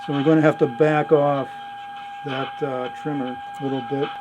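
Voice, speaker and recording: A man's voice: mid-range voice, flat delivery, normal speed, heavy background noise. A man giving an unscripted hands-on technical walkthrough, thinking aloud in a matter-of-fact way. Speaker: presenter of a radio repair demonstration.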